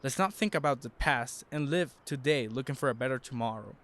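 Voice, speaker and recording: A man's voice: mid-range voice, fairly quick, fairly bright tone, close to an everyday voice. A man playing a reassuring, hopeful young husband in an audio drama, speaking earnestly.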